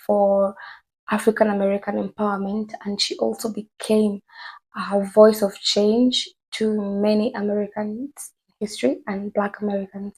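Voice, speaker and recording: A woman talking casually to her viewers, chatty and rambling with many fillers, her voice hoarse from a cold. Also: medium pitch, a little mellow, low-quality recording. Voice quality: husky, gravelly. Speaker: vlogger. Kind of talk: casual commentary to camera.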